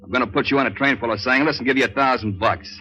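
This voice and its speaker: A man's voice: mid-range voice, very fast, bright, forceful tone, dull audio, close to an everyday voice. An actor playing a smug, menacing racketeer gunman in a 1940s radio noir, talking tough slang.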